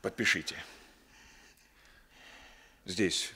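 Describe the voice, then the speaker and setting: A male actor in a Soviet radio drama playing a composed, ironic police captain who questions a suspect in a measured, pressing way. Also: sits low, vocal fry, a crowd in the background, heavily acted. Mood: stern.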